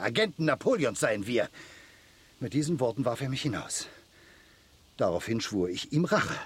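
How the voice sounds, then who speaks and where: An actor playing a fearful, guilt-ridden manservant in a German radio drama, husky and agitated as he confesses a vendetta. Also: medium pitch, no echo.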